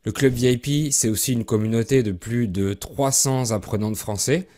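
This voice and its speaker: Man, from France, podcast host, clear measured delivery, mid pitch. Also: warm tone. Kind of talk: French learning podcast interview.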